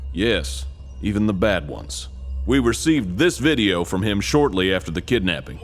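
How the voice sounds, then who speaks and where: A man voicing an earnest military major who gives deadpan mission briefings in a comic video game. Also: lowish voice, normal speed, soft background music. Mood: briskly serious.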